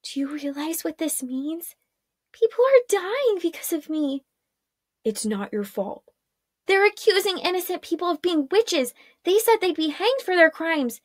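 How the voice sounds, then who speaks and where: Anxious young witch girl, read by a woman, high and pleading, close dry read. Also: sing-song, unhurried, no echo, exaggerated voice. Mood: distressed.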